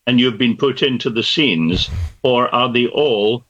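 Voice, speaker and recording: A man's voice: medium pitch, unhurried, a bit bright. Pressing male interviewer, dull audio. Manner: pressing, repeats questions.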